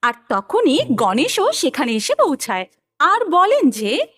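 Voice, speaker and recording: A woman narrates a scripted children's tale. Her voice is high and very expressive in pitch. The recording is close and dry. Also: takes it slowly, no room sound.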